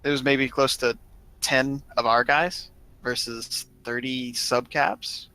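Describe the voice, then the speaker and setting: A man's voice: mid-range voice, steady tone, takes it slowly, pushed and bright, smooth, rough recording. A man telling a story in a casual group chat as one of a gaming crew.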